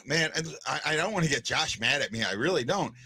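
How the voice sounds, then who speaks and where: Husky, lively-voiced male podcast host. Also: mid-range voice, brisk. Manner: hesitant, restarts sentences, asks "right?" often. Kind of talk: casual chat.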